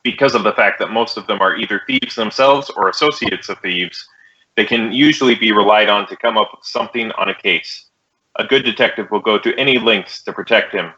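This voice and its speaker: Deadpan low-voiced American police detective. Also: male voice, a little fast, lo-fi sound. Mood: flat, official. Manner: deadpan, matter-of-fact, clipped. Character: terse, businesslike male police detective, narrating his case. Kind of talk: clipped 1950s radio police drama narration and dialogue.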